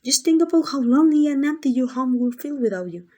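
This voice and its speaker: A woman's voice: high voice, expressive, fast, mellow. A woman playing a lovesick, possessive female kidnapper in an intimate ASMR roleplay, doting and rambling in a flustered, coaxing way. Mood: insistent, adoring. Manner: coaxing, rambling, hesitant asides.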